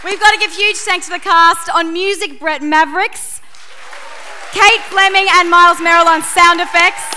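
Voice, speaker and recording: A woman's voice: very high voice, fairly even intonation, normal speed, sharp tone. A woman hosting a live radio event and announcing thanks to the cast. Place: theatre studio stage with live audience. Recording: noisy live venue with audience crowd.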